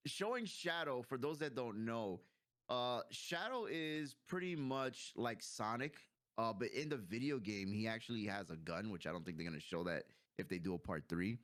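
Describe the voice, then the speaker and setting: A man's voice: mid-range voice, animated, normal speed, a bit bright, no room sound. Slangy American guy, dry close sound. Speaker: co-host of a movie review show. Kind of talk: casual chat, film review.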